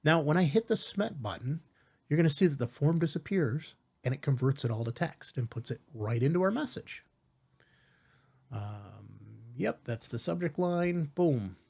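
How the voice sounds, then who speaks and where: A man hosting a step-by-step software tutorial in an informal, reassuring way, often checking in with rhetorical asides. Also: on the high side, animated, moderate pace, creaky, breathy edge. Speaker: tutorial host.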